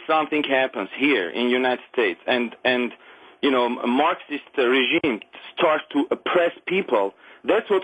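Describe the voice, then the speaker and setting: A middle-aged man from Armenia whose first language is Armenian, speaking English with an Armenian accent. He calls in to a radio show and talks earnestly, haltingly, with many restarts and non-native grammar. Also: medium pitch, phone-quality sound. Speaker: caller. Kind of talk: call-in radio conversation.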